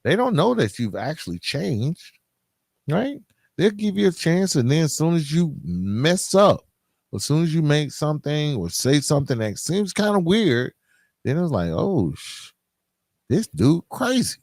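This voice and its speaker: A man's voice: on the high side, big pitch swings, unhurried, darkish tone, dry recording, studio quality. An American man hosting a solo podcast, talking to listeners in an informal, streetwise way with emphatic repetition and rhetorical questions. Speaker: podcast host. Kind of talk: conversational solo podcast monologue addressed to listeners.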